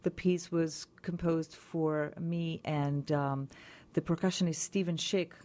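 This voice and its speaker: A woman cellist as a radio interview guest, talking thoughtfully with many pauses and restarts. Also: sits low, fairly even intonation, a little mellow. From Israel.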